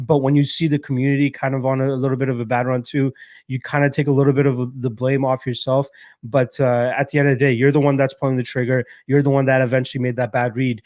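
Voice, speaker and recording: A young man hosts a casual live stream and answers viewers' comments. He talks loosely and swears freely, with plenty of fillers and false starts. His voice sits at an ordinary middle pitch, and the sound is dry and close. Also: no room sound. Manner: loose and profane, lots of fillers and restarts. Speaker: podcast host reading live chat.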